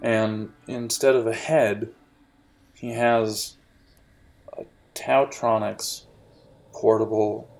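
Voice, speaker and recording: A man narrating as game master of a tabletop role-playing game, describing scenes in a halting, lively way. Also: fairly low pitch, vocal fry.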